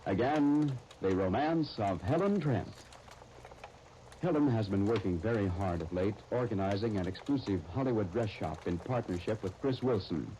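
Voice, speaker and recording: An American man announcing and narrating from a script in polished, deliberate 1930s radio style. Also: fairly low pitch, expressive, dark tone. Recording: noisy 1930s radio broadcast recording.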